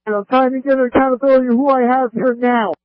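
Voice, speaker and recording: Male incident commander, very high voice, brisk orders, dull scanner radio sound. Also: fairly even intonation, no room sound. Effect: two-way radio. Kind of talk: fireground radio traffic. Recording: muffled lo-fi emergency scanner radio.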